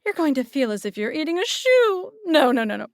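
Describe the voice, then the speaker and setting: A woman's voice: high voice, very animated, brisk, no room sound, clean studio sound. A woman voicing a chirpy, giggly church lady in an audio drama, gushing and teasing.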